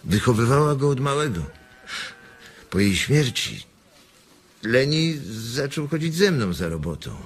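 An actor playing a weary, protective and stern farm labourer in a radio drama, plain-spoken and firm. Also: male voice, mid-range voice, very animated, measured pace, long pauses, slightly rough. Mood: weary, reflective.

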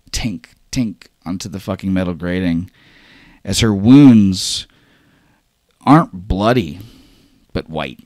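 Deep-voiced man narrating a game. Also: dry recording, clean studio sound. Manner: loose, rambling, with frequent fillers and cursing. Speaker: game master. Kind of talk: casual improvised narration of a tabletop game.

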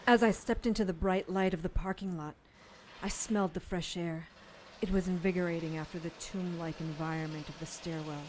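A woman's voice: on the low side, fairly even intonation, a little fast, slight background noise, close to an everyday voice, poor audio. A woman playing a first-person narrator in a supernatural radio drama, with descriptive storytelling delivery. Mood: tense recounting.

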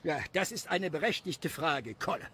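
A pompous, greedy high priest in a cartoonish audio drama. He blusters in a high, sharp voice that leaps about with self-importance. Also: animated, normal speed, slight background noise. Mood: indignant, self-important.

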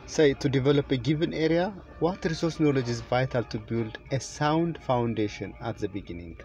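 A man's voice: mid-range voice, animated, normal speed, darkish tone, faint music behind. Ethiopian-accented man, slightly dull recording. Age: middle-aged. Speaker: presenter, children's author. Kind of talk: prepared speech to camera. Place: inside a parked car.

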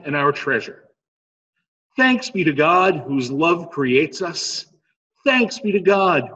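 A middle-aged man leading a church service as pastor, speaking in a slow, measured liturgical way over a webcam. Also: on the high side, a bit bright, breathy edge. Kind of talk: church service prayers and announcements. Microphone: webcam video call. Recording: dull lo-fi webcam audio with long reverb.

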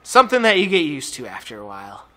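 A man's voice: on the high side, huge pitch swings, quick pace, fairly bright tone. A young man chatting loosely over his gameplay as a channel host. He rambles, swears freely, and is slightly losing his voice with a numb mouth. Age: young adult. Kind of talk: casual gameplay commentary.

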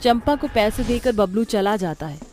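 A woman's voice: medium pitch, lively intonation, moderate pace, darkish tone. A woman reading scripted narration as the storyteller of a cartoon tale.

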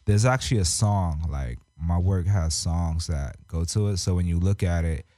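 A young man from Japan chats on a radio show in a deep, mellow voice, drawing out his words in relaxed slang. His voice sits close and dry on a studio boom mic. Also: no room sound. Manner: laid-back, slangy, drawn-out phrases. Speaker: rapper and artist guest. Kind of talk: casual interview chat. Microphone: studio mic on boom arm.

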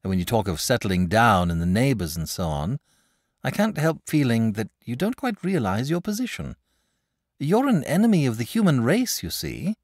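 A man's voice: mid-range voice, sing-song, moderate pace, dry recording, clean studio sound, pitched above the performer's own voice. A man voicing an earnest, sensible young boy who talks in a fretful, lecturing way.

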